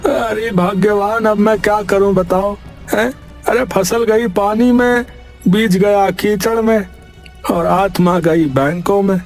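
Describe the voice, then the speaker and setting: Man as a high-voiced, plaintive poor farmer. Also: fairly even intonation, slow pace. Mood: weary, pleading. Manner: plaintive, folksy, pleading. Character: poor, dramatic, wisecracking indebted village farmer. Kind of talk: Hindi moral story cartoon acting. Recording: music behind the voice.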